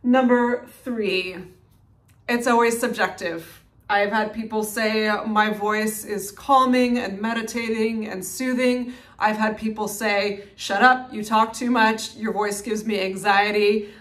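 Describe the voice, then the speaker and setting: A young woman talking casually to the camera about her channel, recorded on her phone. She has a loud, projecting voice and moves between calm and lively stretches. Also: medium pitch, slow. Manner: loud, animated, strong projection. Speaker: minimalist YouTuber. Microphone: phone mic.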